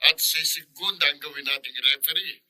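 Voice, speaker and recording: An actor playing a cocky, taunting rival lover in a radio drama, teasing, smug and provoking. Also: male voice, on the high side, moderate pace, bright, forceful tone, low-quality recording. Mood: mocking, gleeful.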